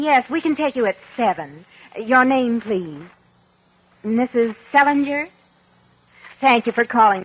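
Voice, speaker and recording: An actress playing a guarded, on-edge doctor's assistant in a 1950s radio drama, brisk and curt. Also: female voice, fairly high pitch, breathy edge. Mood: brisk, polite. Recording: dull, muffled 1950s radio recording.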